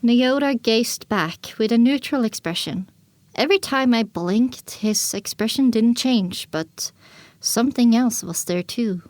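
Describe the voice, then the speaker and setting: A woman playing a young pony mare who tells her own story in earnest, measured audiobook narration. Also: on the high side, darkish tone, no echo, lightly acted. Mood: attentive, measured. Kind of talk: scripted first-person audiobook narration.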